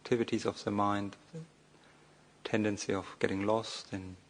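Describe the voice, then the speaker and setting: A man giving a guided meditation as a monk, speaking off the cuff in short, measured phrases with long, hesitant pauses. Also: lowish voice, little pitch movement.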